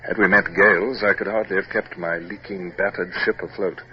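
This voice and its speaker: An actor playing a stern but humane naval captain in a 1950s radio drama, formal and clipped in speech. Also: male voice, deep voice, steady tone, a little fast, lightly acted. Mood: grave, reflective. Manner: formal, clipped, hesitant when moved. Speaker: lead character and narrator. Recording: noisy, muffled vintage radio recording.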